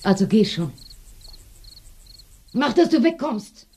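An actress playing a gruff but kindly village tavern keeper in a radio drama, blunt and matter-of-fact. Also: female voice, on the high side, sing-song, slow, noisy background, exaggerated voice. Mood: brusque, kindly. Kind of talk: German radio drama acting.

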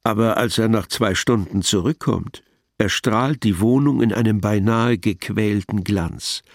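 A man's voice: fairly low pitch, unhurried, vocal fry, no room sound, studio quality. A man giving scripted literary narration in an audio production. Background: music.

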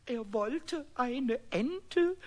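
Radio-play kobold: very high, swooping, squeaky, cheeky and childlike, wheedles and repeats himself. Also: male voice, expressive, drawn-out words, heavily acted. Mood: tearful, theatrical. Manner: stammering, repetitive, pleading and theatrical. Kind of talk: 1970s German children's radio play acting.

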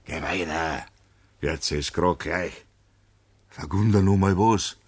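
A man's voice: low-pitched, takes it slowly, breathy edge, no room sound, full character voice. An actor playing a jovial, beer-loving man with a Bavarian accent in a 1960s radio play, folksy and cajoling. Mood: coaxing, jovial.

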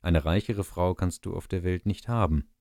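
A man reading the lines of a greedy king, firm and a little louder. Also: deep voice, normal speed, dry recording, studio quality.

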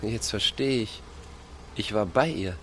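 A man's voice: fairly low pitch, measured pace, a bit bright, slight background noise. An actor playing a teasing, insistent village man of thirty in a radio drama, pushily friendly. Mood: sly, amused. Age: 30s.

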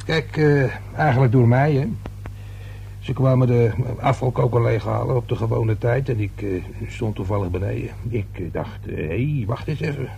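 An actor playing a nosy, grumbling working-class man in a radio drama, talking in a halting, colloquial, self-important way with a husky, gravelly voice. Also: medium pitch, warm tone, dull audio, a little hiss or hum, close to an everyday voice. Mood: boastful, grumbling.

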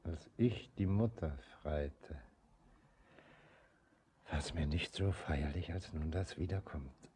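An actor playing a bride's aging father in a radio drama, gentle and troubled, speaking solemnly and haltingly. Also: extremely low pitch. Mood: troubled, melancholy. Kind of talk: poetic radio drama acting. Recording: faint background noise.

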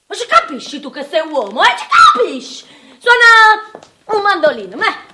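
An actress playing an exasperated working-class wartime housewife with a Neapolitan accent, earthy and scolding, in a theatrical radio monologue. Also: female voice, very high-pitched, huge pitch swings, moderate pace, very noisy, exaggerated voice. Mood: chatty, complaining. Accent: southern Italian, Neapolitan. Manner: earthy, volatile, scolding, addressing listeners directly.

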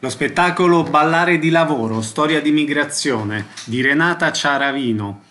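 A man's voice: a little high, moderate pace, bright, forceful tone. A man reading a scripted programme announcement as a radio announcer.

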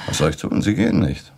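Very deep, gravelly, bitter man. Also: a little mellow, a little hiss or hum, exaggerated voice. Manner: rambling, halting, grumbling, swinging into outbursts. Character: bitter, rambling, tipsy middle-aged man, cynical about love. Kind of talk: radio play acting.

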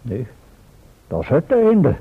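Radio drama professor: indignant, self-important, Netherlands Dutch, very animated pitch, on a thin vintage recording. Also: male voice, slow pace, husky, narrow, tinny audio, full character voice. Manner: pompous, exclamatory. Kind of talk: old-fashioned radio play acting. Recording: thin, band-limited old radio recording.

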